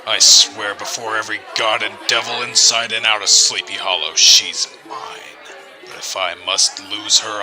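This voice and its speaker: Male actor as jealous village bully, low and hard-edged, intense, menacing, faint music behind. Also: sharp tone, heavily acted, low-quality recording. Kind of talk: audio drama acting.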